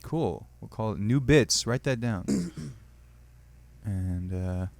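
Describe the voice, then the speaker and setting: Comedy podcast host, male, deep voice, easygoing banter. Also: expressive, slow pace, vocal fry, darkish tone. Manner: loose, swearing, laughing banter. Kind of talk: casual comedy podcast chat.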